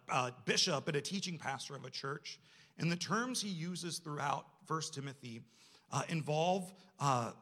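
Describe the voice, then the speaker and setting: A man's voice: a little high, bright, forceful tone. A man preaching a sermon to a congregation in a conversational, self-deprecating way, often posing rhetorical questions. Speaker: church staff member preaching.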